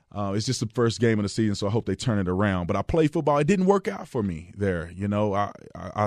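Texas guy hosting his radio show, lowish voice, dry close studio sound, chatty and laid back. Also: dry recording. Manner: relaxed, chatty storytelling with frequent restarts. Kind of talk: casual radio monologue.

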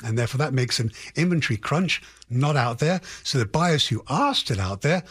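A man speaking as an expert guest in a radio interview, analysing in a halting way with qualifiers and emphatic restatements. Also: mid-range voice, lively intonation, fairly bright tone, slightly rough, dry recording. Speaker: housing economist guest.

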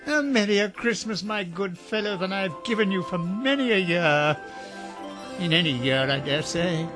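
An actor playing a jubilant, reformed old miser in a radio drama, chuckling and theatrical. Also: male voice, high-pitched, expressive, normal speed, music in the background. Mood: overjoyed. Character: jubilant reformed old male miser, teasing, warm-hearted.

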